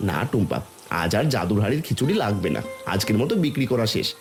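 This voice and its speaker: A man's voice: on the low side, big pitch swings, quick pace, faint music behind. A man voicing a kindly village food seller and cheerful father in a cartoon. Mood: warm, fatherly. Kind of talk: cartoon voice acting.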